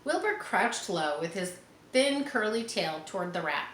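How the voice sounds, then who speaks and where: A woman reading a children's story aloud expressively, pausing to explain things as if to schoolchildren. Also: medium pitch, expressive, a bit bright. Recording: roomy echo, faint background noise.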